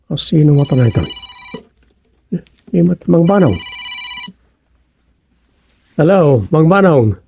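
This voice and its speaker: A woman with an Ilocano accent playing a flustered local on the phone in a radio comedy drama, with a creaky, husky voice. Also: sits low, animated, slow pace, pauses often, mellow, slight background noise, full character voice. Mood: puzzled.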